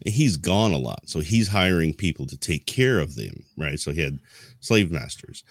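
Very deep-voiced man, rambling and explanatory. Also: sing-song, normal speed, no room sound. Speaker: podcast co-host. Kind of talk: casual discussion of history.

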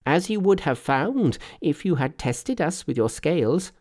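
A man acts out a cheeky monkey hero from a storybook, pitched well up and swooping with lively inflection. He is brash and bantering, fearless and very sure of himself. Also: unhurried. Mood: playful. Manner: brash, bantering, self-assured. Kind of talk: storybook character voice.

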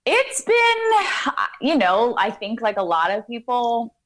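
A woman's voice: fairly high pitch, wildly swooping pitch, a little slow, a bit bright. A woman in her thirties from Queens, talking casually and self-deprecatingly as an actress guest over a video call. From Queens, New York. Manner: chatty, self-deprecating, laughs often.

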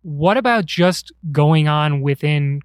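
A man's voice: on the high side, slow pace, clear, resonant tone, dry recording, clean studio sound. A man hosting a podcast in a chatty, curious way, asking his guest questions. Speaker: podcast host.

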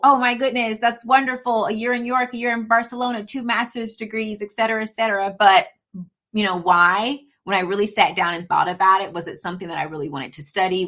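A woman's voice: medium pitch, fairly even intonation, fairly quick, sharp tone. A woman in her thirties, a guest on an interview podcast, talking casually and saying "you know" often. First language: English. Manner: chatty, peppers speech with "you know". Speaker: podcast guest, supply chain consultant.

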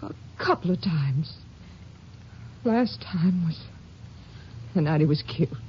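An actress playing a grieving sheriff's widow in a 1950s radio drama, speaking softly and haltingly with a Texan accent. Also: female voice, low voice, lively intonation, a little hiss or hum. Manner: hesitant, halting. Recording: muffled old radio recording.

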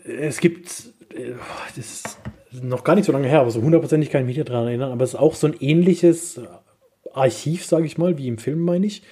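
A man co-hosting a casual film discussion podcast, speaking haltingly as he searches for his words. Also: medium pitch, mellow, husky. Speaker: podcast co-host.

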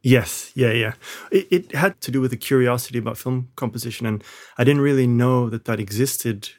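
A man's voice: mid-range voice, fairly quick, a little mellow. A man who grew up in Malaysia, a film composer interviewed from his home studio, answering casually and reflectively with frequent restarts.

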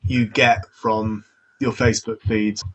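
A man's voice: on the low side, flat delivery, slow, slightly rough, low-quality recording. A man hosting a podcast, asking curious, rambling questions with frequent restarts. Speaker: podcast host. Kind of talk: conversational interview.

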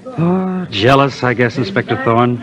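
An actor playing a folksy, gossipy music school employee answering questions in a 1950s radio mystery. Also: male voice, medium pitch, expressive, a little slow, crowd noise, natural voice. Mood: gossipy, helpful. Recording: old radio recording, music behind.

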